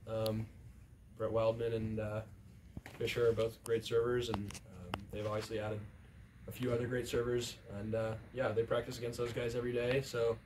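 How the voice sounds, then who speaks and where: A man's voice: mid-range voice, a little mellow. A young man, a college athlete, giving answers at a post-game press conference in an indoor room. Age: young adult. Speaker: college volleyball player. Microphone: distant camera microphone. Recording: distant camera pickup with faint room noise.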